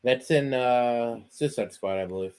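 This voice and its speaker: A man in a relaxed casual stream chat, reading out viewer comments. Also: mid-range voice, a little slow, clean tone.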